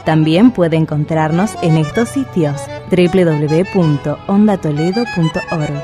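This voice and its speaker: Low-voiced woman reading a station notice. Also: music in the background. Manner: brisk, even read. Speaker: announcer. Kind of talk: scripted station announcement.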